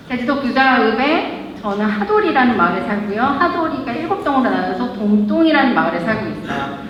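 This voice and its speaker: A woman's voice: fairly high pitch, slight background noise. A woman, a local brand founder, giving a chatty stage talk and joking with the audience. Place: event hall. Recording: echoey hall, lo-fi live recording.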